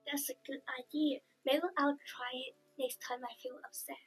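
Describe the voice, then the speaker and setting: A young student interviewer reading questions in a polite, scripted manner. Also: female voice, sits high, level tone, normal speed, husky, soft background music.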